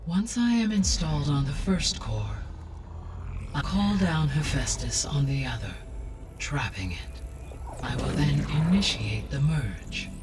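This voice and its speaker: A man playing a calm, analytical artificial intelligence in a video game, with measured, precise, formal speech. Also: a little high. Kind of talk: video game cutscene acting. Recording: distant sound, music underneath.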